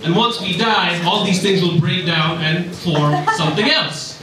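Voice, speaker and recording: A young man from Russia doing a stand-up comedy set with wry, deadpan delivery and lots of ums. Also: high-pitched, a little slow, noisy background. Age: young adult. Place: comedy club stage. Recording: echoey club recorded from a distance. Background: audience laughter.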